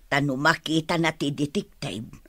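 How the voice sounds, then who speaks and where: A woman's voice: low voice, moderate pace, fairly bright tone, no echo. A woman playing an alert household helper advising her mistress in an Ilocano radio drama, with a wary manner.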